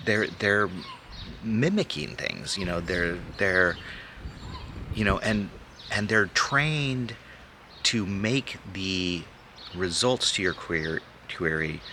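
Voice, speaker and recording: A man's voice: low voice, pushed and bright. A man chatting casually in a morning vlog outdoors, thinking aloud and rambling a little, firm when he argues a point. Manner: strident when arguing a point. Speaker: vlogger. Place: outdoors in a sunny, breezy rural spot. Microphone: handheld camera held close. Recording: outdoor wind and birdsong behind.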